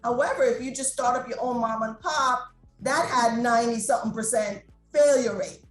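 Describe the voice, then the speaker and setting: A high-pitched middle-aged woman from Jamaica chats freely about her businesses, laughing easily as she talks. Her voice rings in an echoey room while faint music plays underneath. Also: moderate pace. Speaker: restaurant owner and guest. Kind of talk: interview conversation.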